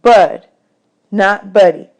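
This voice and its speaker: A woman's voice: mid-range voice, slow, a little mellow. A woman voicing a polite, earnest young orphan boy in a storybook, in a plain folksy manner.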